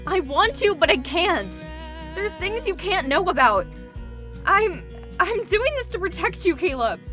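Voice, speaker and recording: A woman's voice: very high voice, big pitch swings, measured pace, music in the background, heavily acted. A woman playing a protective young supernatural woman who keeps secrets, earnest and pleading, in amateur roleplay acting. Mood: pleading, upset.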